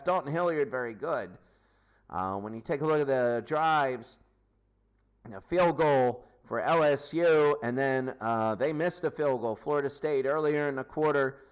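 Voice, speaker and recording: A man's voice: a little high, smooth. A man hosting a sports post-game show, recapping games in an emphatic way and repeating his phrases.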